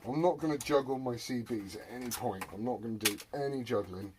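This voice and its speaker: British male hobbyist, mid-pitched, jokey and foul-mouthed, chatting loosely to viewers and himself; noisy, clicky workbench recording. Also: brisk. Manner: sweary, jokey muttering to himself. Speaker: hobbyist repairer presenting a video. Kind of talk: rambling casual workbench chat to viewers.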